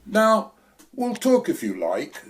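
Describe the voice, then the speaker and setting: An actor playing a smooth, dangerous fat villain who drawls and chuckles pompously in an amateur audio play. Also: male voice, sits high, wildly swooping pitch, slow pace, heavily acted. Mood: smooth menace.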